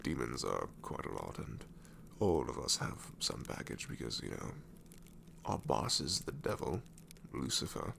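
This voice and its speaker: A man's voice: big pitch swings, unhurried, fairly bright tone, slight background noise, full character voice. A man playing an ancient, sassy shadow demon in an ASMR roleplay, wry and world-weary but tenderly reassuring, often slipping into a whisper. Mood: dry, musing. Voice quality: very deep, gravelly, often breaking into whisper.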